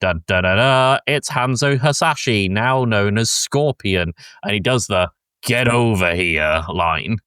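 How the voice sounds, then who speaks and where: A man with a fairly low voice talks on a podcast in a very dry-sounding space. He recaps a film casually, rambling and stopping to search for words, and he swears freely. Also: fairly bright tone, smooth, no echo. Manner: rambling and halting, frequent swearing. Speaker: podcast host.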